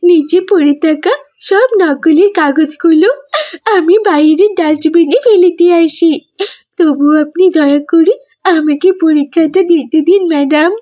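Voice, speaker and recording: A woman's voice: very high-pitched, a little slow, dark tone. A woman voicing a young, scheming schoolgirl in a children's cartoon. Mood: tearful pleading.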